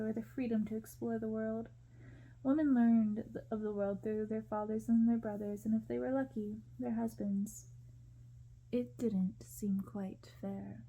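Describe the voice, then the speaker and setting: A woman reading a story aloud in a gentle, measured ASMR style. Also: a little high, gravelly, rough recording.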